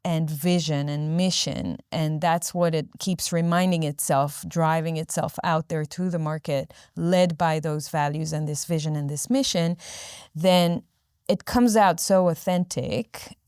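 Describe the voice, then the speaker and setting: A woman whose first language is Hebrew talks as a guest in a podcast studio. Her voice sits somewhat low and sounds smooth and clear. The sound is dry and close, and she pauses to search for words and restarts her phrases. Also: darkish tone, dry recording. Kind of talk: conversational interview. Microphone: studio microphone.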